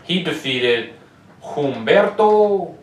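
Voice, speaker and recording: A man's voice: a little high, animated, drawn-out words. Cursing, joking man on a podcast. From United States. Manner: loose, profane, joking. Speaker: podcast co-host. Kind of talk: casual sports podcast chat. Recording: roomy, distant mic with faint noise.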